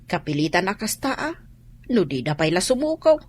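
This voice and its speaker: A woman's voice: on the low side, very animated, moderate pace. A woman playing a knowing village woman in a radio drama, speaking with an Ilocano accent. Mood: matter-of-fact.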